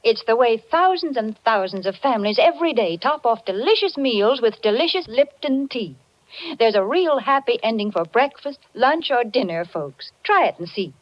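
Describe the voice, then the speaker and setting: A woman delivers a scripted commercial in 1940s radio style. Her voice is fairly high and very animated, persuasive and chipper, on a dull vintage recording. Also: moderate pace. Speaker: commercial spokeswoman.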